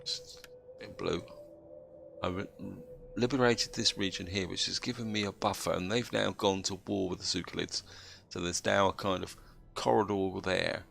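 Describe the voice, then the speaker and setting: A man with a cold and a slightly croaky voice, calmly explaining his moves as he plays a strategy game. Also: low voice, normal speed, soft background music. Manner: steady, explanatory, thinks aloud. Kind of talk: gameplay commentary.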